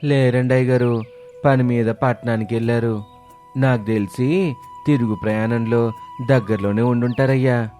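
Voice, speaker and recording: A man voicing a humble but outspoken loyal servant in an animated moral story. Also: mid-range voice, moderate pace, pauses often, close to an everyday voice. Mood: respectful. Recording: music behind the voice.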